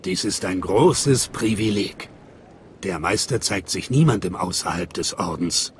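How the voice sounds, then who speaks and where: A man's voice: low voice, lively intonation, unhurried, a little hiss or hum. An actor playing a stern, devout male gatekeeper of a secret order in a game, solemn and formal. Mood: solemn, reverent. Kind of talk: dramatic game voice acting.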